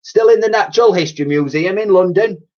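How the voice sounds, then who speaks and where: A man's voice: sits high, a little fast, a little mellow, pitched above the performer's own voice, lightly acted. Man doing a nervous old cleaning lady. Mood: anxious. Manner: blunt, slangy. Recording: dull lo-fi recording.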